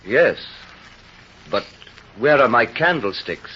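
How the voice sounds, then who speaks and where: An actor playing a gentle, solemn old bishop in a vintage radio drama, with a husky voice and measured delivery. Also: male voice, medium pitch, animated, a little hiss or hum. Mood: kindly, calm. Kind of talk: 1930s radio drama acting. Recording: muffled old radio broadcast recording.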